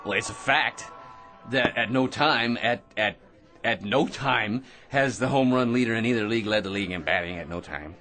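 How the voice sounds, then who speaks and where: An actor playing a brash, eager, self-deluded schemer in a comic radio drama, full of cocky tag questions and nervous chuckles. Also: male voice, medium pitch, moderate pace, pushed and bright, soft background music, lightly acted. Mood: know-it-all.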